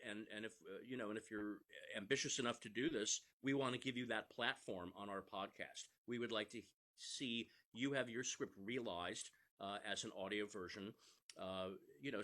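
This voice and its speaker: A man's voice: on the low side, a bit bright. A middle-aged man, an interview guest, explaining things conversationally in an earnest, emphatic manner.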